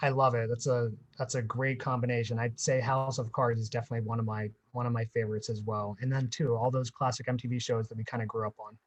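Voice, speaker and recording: A man's voice: medium pitch, flat delivery, quick pace, few pauses. Upbeat male host reading guest introductions on a video call. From Pittsburgh. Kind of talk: scripted introductions in a panel talk.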